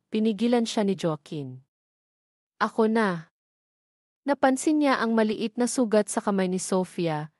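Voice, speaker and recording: A woman's voice: medium pitch, a little mellow, no echo, studio quality. A woman with a Filipino accent reading scripted narration for an audio drama.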